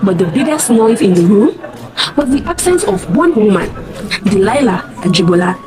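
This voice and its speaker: A woman's voice: mid-range voice, big pitch swings, moderate pace. A woman telling a scripted dramatic story as narrator, theatrical and suspenseful, in short punchy phrases.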